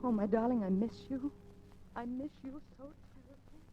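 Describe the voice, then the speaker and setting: An actress playing a devoted, determined wife with an earnest, emotional manner in a 1940s radio drama. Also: female voice, fairly high pitch, normal speed, natural voice. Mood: tender, yearning. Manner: earnest, emotional, breathlessly urgent. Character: devoted, determined young wife fighting for her condemned husband. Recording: muffled old radio recording with music.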